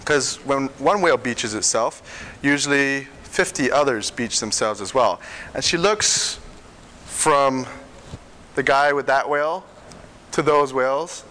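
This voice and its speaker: A man's voice: medium pitch, measured pace, sharp tone. A young man from Singapore and Malaysia giving an informal talk to a small student group, telling stories in a conversational way with frequent chuckles and fillers.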